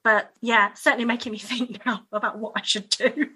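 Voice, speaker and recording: A woman guest on a podcast speaking reflectively, with soft laughs. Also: a little high, steady tone, normal speed, slightly rough. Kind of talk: podcast conversation.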